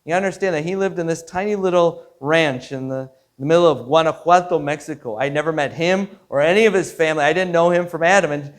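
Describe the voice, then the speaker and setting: A man, a pastor giving a devotional story in an earnest, measured way, sometimes repeating words as he goes. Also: on the high side. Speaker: Lutheran pastor.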